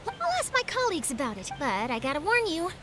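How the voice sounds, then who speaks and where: Very high, spirited young reporter. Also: female voice, huge pitch swings, fast talker, heavy background noise. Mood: alarmed, confiding. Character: spirited young female newspaper journalist, inquisitive and earnest. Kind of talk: anime video game voice acting.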